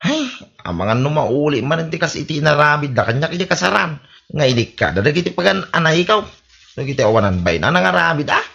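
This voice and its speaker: A man's voice: a little high, sing-song, fast, gravelly, sharp tone, highs cut off, full character voice. A man with an Ilocano Filipino accent playing a boastful drunkard son who complains indignantly in a comedy radio drama. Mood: sulky, bitter. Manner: exaggerated, wailing complaints to his mother.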